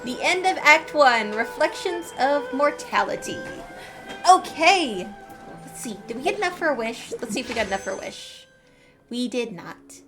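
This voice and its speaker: A woman streams a game in a high-pitched voice. She chats casually with a playful, bubbly manner, and faint music plays behind her. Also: lively intonation, normal speed. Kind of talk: casual livestream gaming chat.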